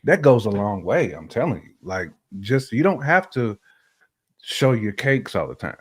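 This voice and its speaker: A man's voice: lowish voice, big pitch swings, unhurried, slightly rough. A man co-hosting a relationship podcast, chatting casually and colloquially with opinionated, slangy phrasing and repeats for emphasis.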